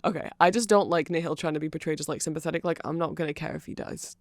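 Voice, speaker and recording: A mid-pitched voice with a noticeable creak, recorded close and dry. The speaker rambles informally through an ASMR-style explainer, offering opinions along the way. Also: fast, dry recording. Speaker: content creator.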